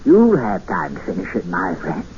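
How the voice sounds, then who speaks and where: Casting: elderly genial captor, courtly and sinister, lowish swooping voice, vintage radio play. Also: male voice, huge pitch swings, darkish tone. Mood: evasive. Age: older. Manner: courtly, evasive, placid, drawn-out. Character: obtuse old jungle recluse, genial but quietly menacing captor. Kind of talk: 1950s radio drama acting. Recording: noisy, dull vintage radio recording.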